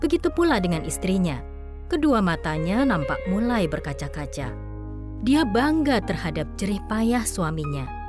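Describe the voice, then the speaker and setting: Woman narrating over prominent music. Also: medium pitch. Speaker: narrator of an audio drama. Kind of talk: scripted storytelling narration. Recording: loud music behind the voice.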